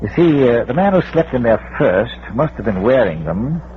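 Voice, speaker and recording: A man plays a well-bred amateur sleuth in an old radio mystery, reasoning briskly and precisely with small pedantic asides. His voice is mid-pitched, on a dull, slightly noisy vintage recording. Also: very animated, natural voice. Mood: confident, deductive. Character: brisk, scholarly amateur detective, a well-bred older gentleman.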